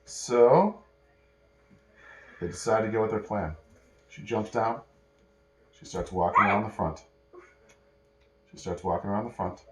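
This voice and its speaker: A man improvising a bedtime story as narrator, pausing and correcting himself as he goes. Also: lowish voice, background noise. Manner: pauses and self-corrections while telling. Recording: echoey room.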